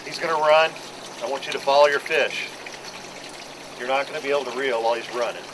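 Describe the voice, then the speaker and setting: A man's voice: mid-range voice, fast. A middle-aged man, a fishing boat captain, giving a practical, plain-spoken briefing to a group on the deck of a docked boat. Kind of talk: instructional briefing to a charter group. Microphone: camera mic from a few feet away. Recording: outdoor camera audio with clear background noise.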